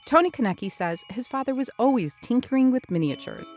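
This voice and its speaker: A woman's voice: a little high, lively intonation, a little fast, darkish tone, soft background music. A woman news reporter reading a scripted feature narration.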